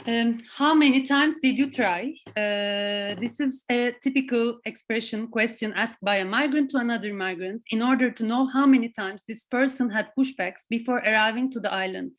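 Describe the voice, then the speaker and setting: A woman's voice: fairly high pitch, normal speed, hardly any pauses. A woman moderating a panel, explaining steadily and handing the floor to other speakers. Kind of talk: presentation.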